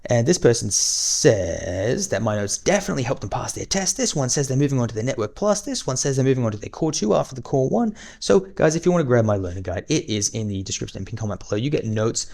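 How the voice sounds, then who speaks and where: A man teaching an exam-prep lesson in a quick, chatty, encouraging way, calling his viewers guys, with a voice that is wearing out. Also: mid-range voice, sing-song, gravelly, a little mellow. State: losing his voice. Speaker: online course instructor.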